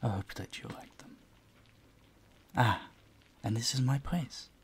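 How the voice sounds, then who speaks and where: A man playing a courteous, charming vampire in an intimate audio roleplay, soft-spoken, breathy and soothing, often close to a whisper. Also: medium pitch, takes it slowly. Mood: doting, hospitable. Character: gentle, courteous male vampire, charming and doting. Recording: faint ambient noise behind the voice.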